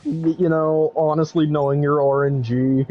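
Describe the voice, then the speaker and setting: A man's voice: a little high, level tone, normal speed, lo-fi sound. A young man in casual chat, teasing a friend with juvenile jokes while watching a game. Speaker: gamer's friend watching play. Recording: faint game music behind.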